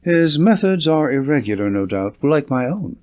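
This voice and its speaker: A man's voice: fairly high pitch, very animated, moderate pace, darkish tone. A man voicing a young police inspector in an audiobook, reserved, formal and earnest, a little touchy.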